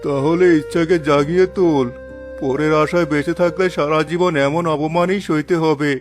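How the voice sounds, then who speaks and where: A man's voice: fairly high pitch, steady tone, normal speed, faint music behind, close to an everyday voice. A man voicing a wise older village uncle in a cartoon, a firm and encouraging mentor to a younger fisherman. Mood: rousing. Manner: firm, encouraging, proverb-laden. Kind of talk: dramatised cartoon dialogue.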